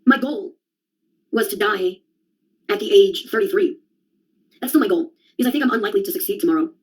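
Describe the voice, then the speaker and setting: A woman's voice: medium pitch, animated, brisk, husky. A young adult woman in a rambling solo monologue, sardonic and profane, jumping from one topic to the next. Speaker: vlogger.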